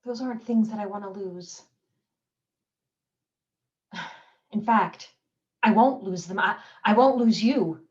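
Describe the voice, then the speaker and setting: A woman acting out a stage monologue as a desperate wife clinging to her husband, with deadpan wit and nervous laughs. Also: mid-range voice, slow, long pauses, dull audio, lightly acted. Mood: pleading. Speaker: community theater actress.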